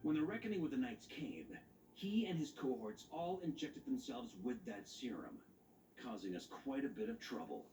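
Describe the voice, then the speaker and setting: An actor playing a dutiful, calm knight commander in a game, speaking in measured, formal, solemn exposition. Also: male voice, medium pitch, roomy sound, recorded from a distance, slight background noise, natural voice, poor audio.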